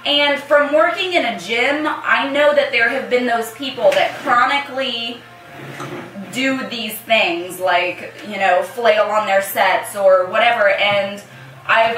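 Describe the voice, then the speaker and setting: A young American woman with a very bright, forward voice on the high side. She banters casually and jokes as a co-host. She is a little far from the mic, in an echoey room. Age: young adult. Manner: playful, joking. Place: small indoor room with hard table. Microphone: camera a few feet away. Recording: roomy echo, distant camera mic.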